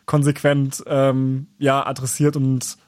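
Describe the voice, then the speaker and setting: A man hosting a radio call-in show, talking in a relaxed, chatty way with loose phrasing. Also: mid-range voice, slow pace, no echo, studio quality. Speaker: radio host. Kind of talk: casual radio talk. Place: radio studio.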